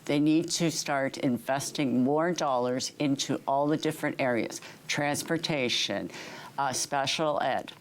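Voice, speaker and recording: A woman's voice: very deep voice, a little slow, slight background noise, rough recording. A woman answering interview questions as a trustee candidate, earnest and hesitant, with frequent fillers.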